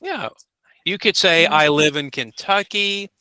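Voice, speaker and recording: A man teaching a basic English class over a video call, patiently modeling phrases slowly for his students. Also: fairly high pitch, pushed and bright. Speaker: ESL teacher. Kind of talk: encouraging classroom instruction.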